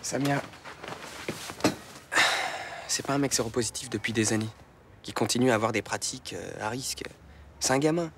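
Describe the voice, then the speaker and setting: An actor playing an earnest young man in a soap opera, reasoning calmly in defence of a friend. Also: medium pitch, moderate pace, creaky, pauses often, background noise, natural voice. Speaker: Rudy.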